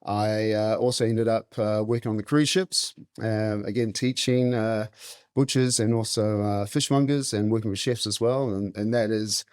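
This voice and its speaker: A man's voice: lowish voice, fairly even intonation, moderate pace, a little mellow, clean tone, no room sound, clean studio sound. A middle-aged man with a New Zealand accent, telling stories casually as an interview guest. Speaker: interview guest, meat specialist and butcher. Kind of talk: casual conversational storytelling.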